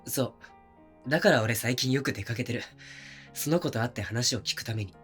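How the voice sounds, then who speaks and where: A man acting as a considerate but oblivious young boyfriend in an intimate audio drama, talking casually and softly with pauses. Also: mid-range voice, faint music behind, natural voice. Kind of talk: intimate situation-voice audio drama, naturalistic one-sided dialogue.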